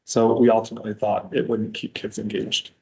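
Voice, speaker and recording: A man presenting curriculum materials to a school board in a meeting room, talking in a relaxed, conversational way with frequent fillers. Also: on the low side, a little fast, creaky, poor audio.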